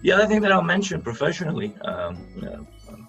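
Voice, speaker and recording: A man's voice: on the high side, very animated, fast talker, vocal fry, a bit bright, dull audio, faint music behind. A man in his fifties from Bulgaria, a dentist guest talking at length in a casual interview, rambling with many fillers over a video call.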